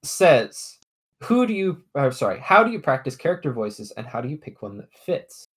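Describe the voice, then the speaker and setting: A woman's voice: very deep voice, sing-song, moderate pace, husky. A young adult woman, a dungeon master, chatting casually on a podcast, rambling, swearing and laughing often.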